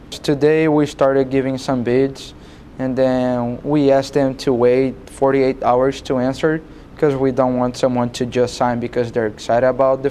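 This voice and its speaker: A man's voice: medium pitch, warm tone, slight background noise. A young man, giving conversational interview answers as a student club president. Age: young adult.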